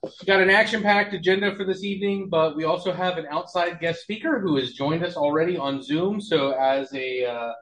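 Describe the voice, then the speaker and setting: A man's voice: on the high side, moderate pace, fairly bright tone, echoey room, slight background noise, low-quality recording. A man chairing an amateur astronomy club meeting, friendly and chatty with mild hesitations.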